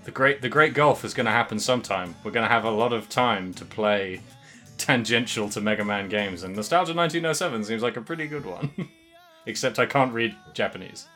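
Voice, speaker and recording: A man's voice: medium pitch, brisk, bright, forceful tone, soft background music. A man co-hosting a casual podcast, rambling loosely, laughing often and trailing off mid-sentence. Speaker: podcast co-host.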